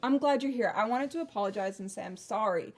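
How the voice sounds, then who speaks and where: A woman's voice: medium pitch, fast, a little hiss or hum, lightly acted. A woman playing an anxious, self-doubting show maker in a hesitant, rambling comic confession to viewers, often repeating words. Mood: apologetic. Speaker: performer in a surreal variety show.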